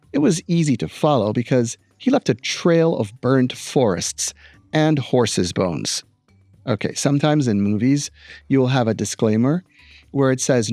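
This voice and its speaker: Male teacher, middle-aged, mellow tone, lively intonation, read-aloud with wry, expressive commentary. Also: mid-range voice, no echo. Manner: expressive, deliberate emphasis with wry asides.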